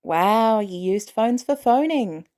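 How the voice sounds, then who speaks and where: A woman acts out a teenage boy in an audio story. He is eager and stubborn, pitched high, and blurts his questions with cheeky, excitable curiosity. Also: slow. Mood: cheeky, playful.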